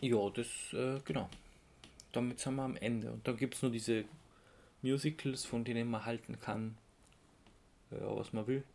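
Halting man, casual podcaster. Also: mid-range voice, fairly even intonation, creaky, darkish tone. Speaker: podcast co-host. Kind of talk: casual podcast chat, recounting and giving opinions.

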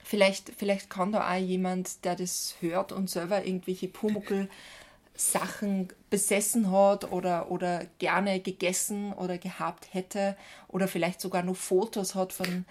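A woman's voice: fairly low pitch, flat delivery, measured pace, a little mellow. A young woman with an Austrian accent chatting casually and enthusiastically as a podcast co-host.

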